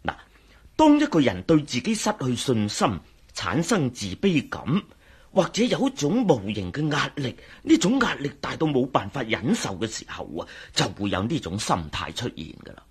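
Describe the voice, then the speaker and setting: An actor playing a jovial, self-assured doctor with a husky voice, in a Cantonese radio drama with a Hong Kong accent. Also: male voice, mid-range voice, huge pitch swings, normal speed, heavily acted. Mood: expert explaining. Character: jovial, self-assured male doctor and psychologist, teasing friend.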